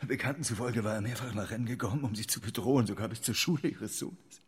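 A man's voice: mid-range voice, quick pace, husky. An actor in a German radio drama playing a despairing homeless man who grieves for his son. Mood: tense reading. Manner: emotional, wailing when distressed.

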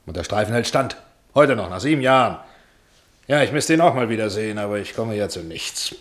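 A man's voice: fairly low pitch, animated, brisk, lightly acted. Pompous film studio boss, acted by a man. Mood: self-satisfied, patronizing. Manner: pompous, patronizing, self-satisfied. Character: self-important, jovial middle-aged male film studio boss. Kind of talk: radio drama acting.

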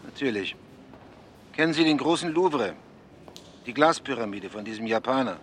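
An actor playing a wary, sardonic journalist in a German radio play, with a guarded, dry delivery. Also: male voice, medium pitch, lively intonation, normal speed, a little hiss or hum, close to an everyday voice. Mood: brisk.

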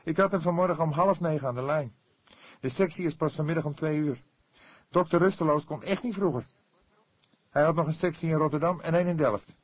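Man putting on an eager, high-pitched young detective. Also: brisk, breathy edge, dry recording. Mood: emphatic. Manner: hesitant, protesting, emphatic. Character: eager young male police detective, easily irritated.

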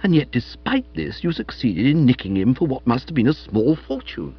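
A man voicing a chatty, foppish young English gentleman in an audiobook, with florid upper-class slang. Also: mid-range voice, big pitch swings, moderate pace, husky, highs cut off, soft background music. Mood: breezy, boastful. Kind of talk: audiobook character voice.

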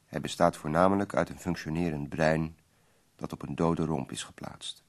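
An actor playing an earnest, polite visitor in a radio play, speaking in a grave, measured, sympathetic way. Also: male voice, a bass voice, steady tone. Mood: sombre narrating. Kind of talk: radio play acting with narration.

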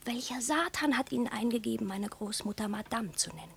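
A woman playing a pert, witty young small-town woman in a classic comedy radio play, brisk, teasing and bossy. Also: a little high, lively intonation, gravelly, slightly rough, full character voice. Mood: scolding, teasing. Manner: brisk, mock-strict, teasing.

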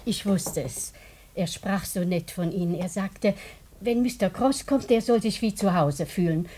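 A woman's voice: mid-range voice, a little slow, warm tone, slight background noise, lightly acted. An actress in a radio play playing a chatty, sympathetic female landlady.

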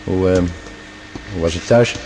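A man's voice: low voice, a little slow. A male actor in a radio play as an affable curator on a Mars base, talking casually with a gossipy, hesitant manner. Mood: chatty, friendly. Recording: very echoey cave-like hall, faint noise.

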